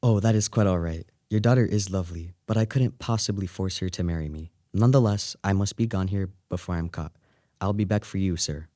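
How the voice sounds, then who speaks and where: A man's voice: low-pitched, a little fast, mellow, dry recording, studio quality, natural voice. A man playing an earnest, courteous and gallant young man in a radio play.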